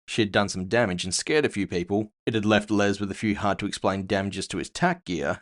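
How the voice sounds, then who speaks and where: A gritty, low-pitched bounty hunter played by a man tells his own cyberpunk fantasy tale. He is world-weary and terse, speaking as a scripted first-person storyteller. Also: natural voice. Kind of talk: scripted audio fiction narration.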